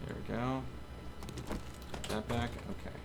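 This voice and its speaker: A man's voice: mid-range voice, fairly even intonation, a little slow, creaky, very noisy. A man chatting casually as a game streamer, with playful, joking asides. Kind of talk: casual gaming livestream chat.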